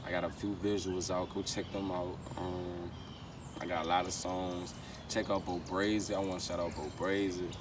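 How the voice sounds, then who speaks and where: A man's voice: low-pitched, flat delivery, normal speed. A man with a Louisiana accent chatting casually and slangily in an interview, often repeating his phrases. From Baton Rouge, Louisiana. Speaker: R&B singer and rapper being interviewed. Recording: distant mic, loud outdoor street noise.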